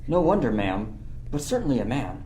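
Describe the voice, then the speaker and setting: A woman playing a gentle, lovestruck, innocent youth who pleads softly in a Shakespearean radio play. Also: extremely low pitch, very animated, moderate pace. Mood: smitten. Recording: roomy echo, faint noise.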